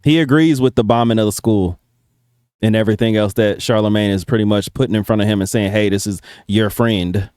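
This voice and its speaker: A man's voice: fairly low pitch, brisk, darkish tone, clear, resonant tone, no echo. A man hosting a reaction video, commenting casually with slang and casual swearing.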